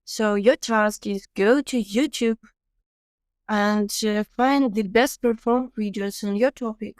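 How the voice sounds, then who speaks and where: A woman with a Ukrainian accent hosts a relaxed podcast conversation, walking listeners through practical tips in earnest, slightly non-native English. Her voice is smooth and clear, sitting mid-range for a woman. The sound is close and dry, with no room around it. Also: mid-range voice, takes it slowly, no room sound. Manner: earnest, explanatory, laughs easily. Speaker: podcast host. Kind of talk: casual podcast interview.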